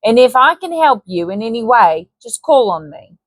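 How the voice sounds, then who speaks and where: A woman's voice: fairly high pitch, very animated, moderate pace, no room sound. A woman voicing a scheming, coarse male trader and hunter with a Cockney accent and a sly, persuasive, ungrammatical way of talking. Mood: wheedling.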